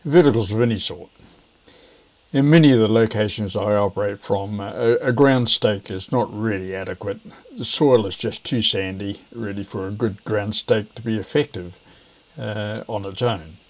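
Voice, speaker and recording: Low-voiced older New man. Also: normal speed, darkish tone. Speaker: video presenter, ham radio operator. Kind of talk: explanatory talk to camera.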